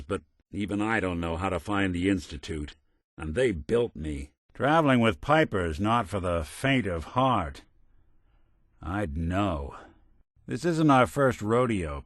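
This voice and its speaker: A hardboiled robot private eye from a video game, speaking low in an American accent. The delivery is wry and streetwise, full of old-time gumshoe slang, with big swings in pitch. The sound is close and dry. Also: male voice, a bit bright, no room sound, heavily acted. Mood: dry, probing. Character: world-weary, wisecracking hardboiled android private detective. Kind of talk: video game voice acting in 1940s film noir detective manner.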